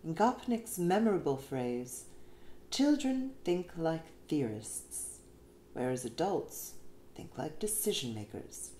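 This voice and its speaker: Expressive woman reading an essay, roomy echo. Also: low-pitched, slow. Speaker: reader of an essay. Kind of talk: scripted narration, essay read aloud. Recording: roomy echo, faint background noise.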